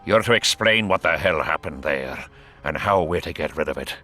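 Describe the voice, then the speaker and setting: A man's voice: very deep voice, expressive, moderate pace, sharp tone, heavily acted. An actor playing a brusque, imperious king in a fantasy video game, giving curt commands. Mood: commanding.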